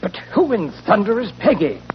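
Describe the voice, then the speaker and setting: A man's voice: high voice, sing-song, normal speed, soft background music. An actor playing a blustering, exclamatory male proprietor in 1940s radio style. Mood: shocked. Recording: noisy, dull 1940s radio recording.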